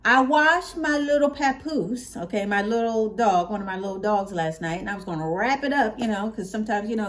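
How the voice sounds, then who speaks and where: Middle-aged American woman, mid-pitched voice, folksy and expressive home vlogger talking casually to camera, repeats herself for emphasis. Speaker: hair care vlogger. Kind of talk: casual chat to viewers. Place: open-plan living room at home.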